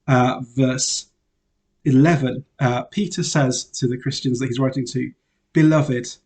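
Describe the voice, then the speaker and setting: A man's voice: mid-range voice, a little slow. A man, a priest born in Wales and raised in England, giving an informal, chatty self-introduction to his parishioners with frequent "uh" fillers. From Wales, raised in Northamptonshire, England. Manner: relaxed and chatty, many "uh" fillers, light laughs.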